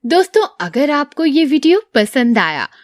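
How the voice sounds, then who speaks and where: A woman's voice: sits high, sing-song, unhurried, background noise. A woman giving a scripted channel announcement to viewers. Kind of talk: scripted subscribe message.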